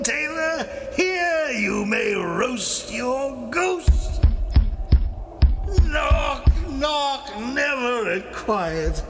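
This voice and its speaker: An actor playing a drunken, bawdy, grumbling castle porter in a theatrical Shakespearean audiobook performance, exclaiming and rambling. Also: male voice, extremely high pitch, heavily acted. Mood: gleeful, exasperated. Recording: clear background ambient noise.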